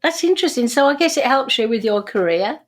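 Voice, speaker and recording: A woman's voice: fairly high pitch, lively intonation, quick pace. An older woman with a British accent hosting a casual interview in a chatty, effusive and self-deprecating way. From Britain. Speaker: interview host.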